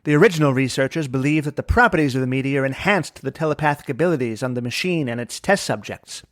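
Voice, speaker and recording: A man's voice: medium pitch, fast talker, no echo, studio quality, natural voice. An actor playing a remorseful, haunted man of science with a grave, melodramatic manner in an old-time radio detective drama. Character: haunted, remorseful male scientist who faked his death.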